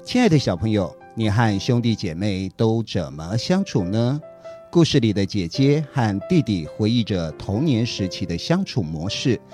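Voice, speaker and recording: A man's voice: lowish voice, very animated, normal speed, dark tone, soft background music. A middle-aged man with a Taiwanese Mandarin accent, giving scripted narration to children as a storyteller host.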